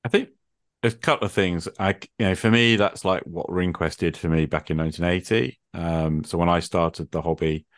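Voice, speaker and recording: Very deep-voiced, reflective middle-aged man. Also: steady tone, brisk, no echo, studio quality. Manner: reflective, hesitant, thinking aloud. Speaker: podcast host. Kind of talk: extended casual conversation about role-playing games.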